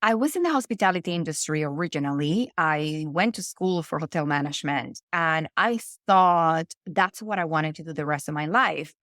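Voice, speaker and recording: Venezuelan-accented woman, low, dry sound. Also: lively intonation, moderate pace, hardly any pauses, a bit bright, no room sound. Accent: Venezuelan Spanish. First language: Spanish. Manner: earnest, restarts phrases often. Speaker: podcast guest. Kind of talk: conversational interview.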